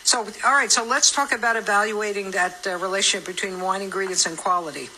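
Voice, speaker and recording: A woman radio co-host with a bright, forward voice talks in a chatty, joking way. It is casual live interview chat, with faint noise in the recording. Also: medium pitch, fast talker, low-quality recording. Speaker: morning radio show co-host.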